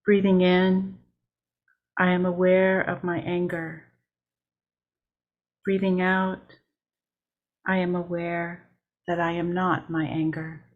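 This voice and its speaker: Female meditation leader, calm and deliberate, very slow with little pitch movement. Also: narrow, tinny audio. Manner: calm, measured, with short deliberate phrases. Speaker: worship leader. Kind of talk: guided meditation.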